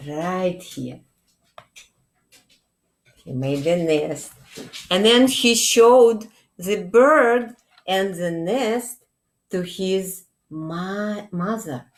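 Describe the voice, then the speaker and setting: Woman, forties, children's storytime reader. Very unhurried, deliberate, expressive, slightly low register. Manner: deliberate, expressive, frequent check-in questions. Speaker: children's librarian and storytime host. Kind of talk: read-aloud storytime addressed to young children. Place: small indoor room, plain wall.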